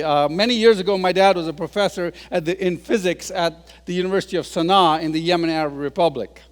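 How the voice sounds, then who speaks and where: A man in his sixties giving a humorous talk as a guest speaker, telling stories with self-deprecating asides. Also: high voice. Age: 60s. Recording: long reverb of a large hall.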